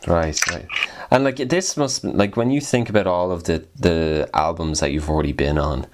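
A man's voice: low voice, moderate pace. A man hosting a podcast, asking questions in a casual interview style.

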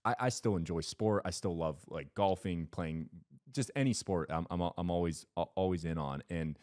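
A man in his thirties, co-hosting a podcast in a studio and talking casually into a boom mic. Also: normal speed. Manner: rambling, animated, gestures a lot. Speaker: podcast co-host. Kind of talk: casual chat. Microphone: headphones and boom mic.

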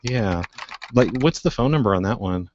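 A man's voice: on the low side, lively intonation, moderate pace, darkish tone, a little hiss or hum. A man hosting a prank call show, improvising casually in a mischievous, innocent-sounding way, on dull lo-fi audio.

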